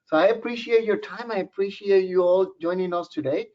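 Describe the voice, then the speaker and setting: A man's voice: sits high, brisk. A man from Puerto Rico with a Puerto Rican Spanish accent, giving a webinar presentation in a joking, personal storytelling way. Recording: muffled lo-fi webinar audio.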